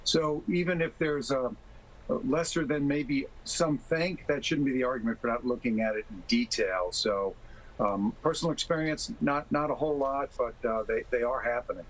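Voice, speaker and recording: A man speaking as an expert guest in a TV interview, explaining things in a measured, careful way. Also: mid-range voice, poor audio. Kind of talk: live TV interview.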